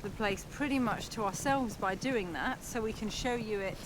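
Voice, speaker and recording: A woman's voice: mid-range voice, moderate pace. A woman co-hosting a travel vlog, chatting casually to viewers. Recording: clear outdoor background noise.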